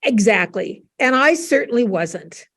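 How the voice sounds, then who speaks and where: A woman's voice: a little high, measured pace, breathy edge. Older American woman telling anecdotes. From United States. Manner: animated, acts out remembered dialogue. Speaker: panel guest. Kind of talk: casual anecdotal storytelling.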